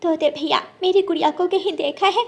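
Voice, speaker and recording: A woman's voice: very high-pitched, fairly quick, pitched above the performer's own voice. A woman voicing a protective, anxious mother bird in a children's cartoon, motherly and fretful in manner. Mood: worried. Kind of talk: Hindi children's cartoon story acting.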